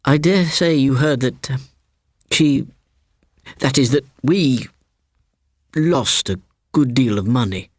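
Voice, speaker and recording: A man's voice: mid-range voice, slow pace, pauses often, no room sound, studio quality, voice pushed up. A man playing a nervous, evasive young man who speaks awkwardly in hesitant, broken sentences.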